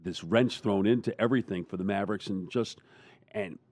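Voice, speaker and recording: A man in a casual sports roundtable, talking as a panelist in a halting, rambling way. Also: lowish voice, darkish tone, no echo.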